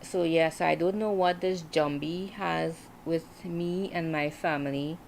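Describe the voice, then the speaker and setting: A woman in her forties talking casually on a livestream, reflective and hesitant, with many pauses and fillers. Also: sits low, steady tone, gravelly, a little mellow, slight background noise. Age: 40s. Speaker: writer hosting a livestream.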